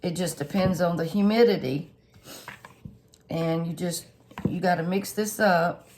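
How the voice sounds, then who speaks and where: A woman, a little hoarse and stuffed up, chatting homily through a cooking tutorial for her viewers. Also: on the low side, measured pace, background noise. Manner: homey, chatty, lots of fillers, addresses viewers directly. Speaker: home cook channel host.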